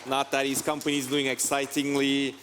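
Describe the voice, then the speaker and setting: A man's voice: medium pitch, monotone, brisk, a bit bright, a little hiss or hum. A man hosting an onstage interview, loose and joking, rambling with many restarts. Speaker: interview host, investor. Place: large event hall. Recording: reverberant hall on stage mic.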